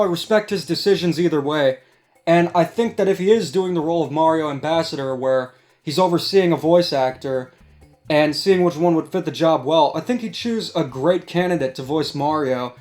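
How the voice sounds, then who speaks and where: A man's voice: on the high side, darkish tone. A man hosting a casual discussion, giving commentary over background music. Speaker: host. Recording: music playing behind the voice.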